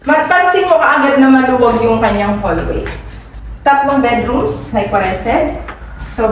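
A woman's voice: high voice, recorded from a distance. Upbeat Filipino woman touring a house. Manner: upbeat salesy, mixes English and Tagalog. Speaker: real estate agent. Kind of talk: property tour vlog. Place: inside a show house and outside on a street. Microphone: handheld camera held by the speaker. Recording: echoey rooms, off-mic, street noise.

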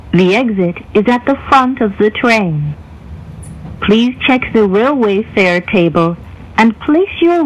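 A woman reading scripted train announcements in a formal, deliberate way. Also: on the high side, rough recording.

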